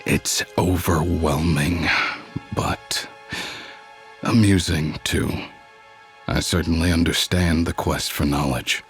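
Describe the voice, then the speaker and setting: An actor playing a weary, brooding warlock outcast, narrating grimly and deliberately in a motion comic. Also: male voice, extremely low pitch, fairly even intonation. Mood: dry, reluctant. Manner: grim, deliberate, dryly understated. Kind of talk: first-person scripted narration in a motion comic. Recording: loud dramatic music bed. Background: dramatic music.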